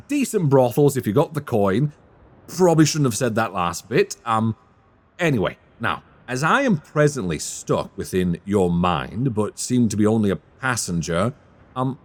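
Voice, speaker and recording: Man acting a flustered, cheeky young fantasy rogue, animated. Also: medium pitch. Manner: rambling, flustered, colloquial banter. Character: cheeky, panicky young rogue trapped in another's mind. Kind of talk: fantasy audio roleplay acting.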